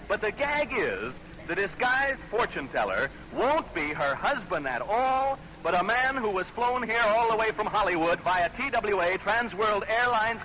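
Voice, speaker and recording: American male, high voice, 1940s radio quiz host, showman patter, noisy vintage broadcast. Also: sharp tone. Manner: chatty, showman-like patter with repeated catchphrases. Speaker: radio game show host. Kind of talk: 1940s live radio quiz show hosting. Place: live radio stage.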